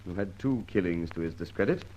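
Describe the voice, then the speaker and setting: Radio serial: earnest British policeman, lively inflection, confiding and courteous, on a dull old recording. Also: low-pitched, a little fast. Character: earnest, methodical male police detective. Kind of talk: old-time radio detective drama acting.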